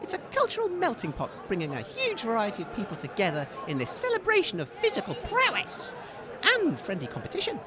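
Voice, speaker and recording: A man playing a flustered, cheery wasteland radio host in a comedy broadcast, with an excitable, stammering manner. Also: extremely high pitch, brisk, crowd noise, heavily acted. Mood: cheerful, earnest.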